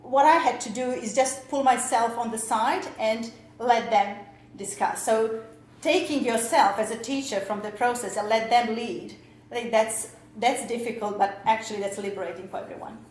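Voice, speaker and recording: A woman's voice: on the high side, moderate pace. Hesitant woman speaker in an echoey room. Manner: hesitant, many fillers and restarts. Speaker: workshop speaker, teacher. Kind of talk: conference presentation. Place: lecture room. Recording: echoey lecture room, faint noise.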